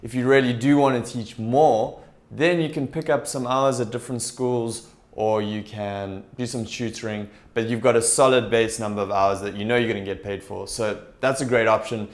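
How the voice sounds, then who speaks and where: A young man talking straight to camera in a small room, explaining things in a steady, upbeat way. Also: mid-range voice, moderate pace. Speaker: TEFL recruiter and vlogger. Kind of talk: direct-to-camera advice talk. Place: small indoor room with shelves.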